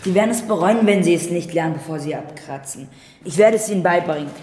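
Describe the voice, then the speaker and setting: A man's voice: sits high, fast, darkish tone, natural voice. A young male actor playing a bossy, persistent twelve-year-old grandson in a radio drama, blunt and insistent. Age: young adolescent. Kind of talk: naturalistic radio drama acting. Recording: echoey reverberant room, faint noise.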